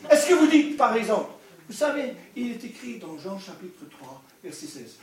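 Older male preacher, humorous asides, very high voice, distant roomy hall sound. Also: sing-song, moderate pace. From Scotland. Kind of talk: sermon. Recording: echoey hall, distant mic.

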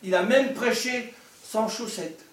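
Older male preacher, humorous asides, very high voice, distant roomy hall sound. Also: takes it slowly. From Scotland. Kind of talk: sermon. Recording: echoey hall, distant mic.

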